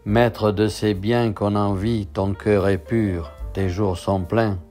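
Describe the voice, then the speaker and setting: A man reads poetry in a measured, deliberate literary recitation. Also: on the low side, level tone, mellow. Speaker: poetry reader. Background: instrumental music.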